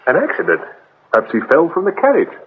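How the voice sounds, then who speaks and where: An actor playing a jovial, teasing English police officer in a radio drama, chatty and fond of gossip. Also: male voice, a little high, wildly swooping pitch, quick pace. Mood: gossipy. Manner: jovial, chatty, old-boy turns of phrase. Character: breezy, teasing, gossipy male police colleague. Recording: muffled lo-fi radio recording with hiss.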